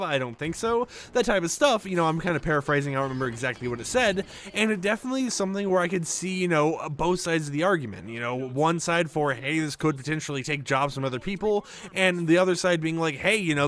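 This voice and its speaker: A man's voice: on the high side, fairly bright tone. A man giving casual gaming commentary in a conversational, rambling way, often checking in with little tag questions. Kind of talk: casual video commentary over gameplay.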